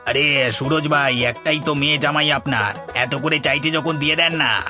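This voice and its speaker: A man's voice: a little high, normal speed, lightly acted. A man voicing a chummy, teasing village tailor in a cartoon, with a familiar, amused manner. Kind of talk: Bengali cartoon dialogue. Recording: music under the voice. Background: music.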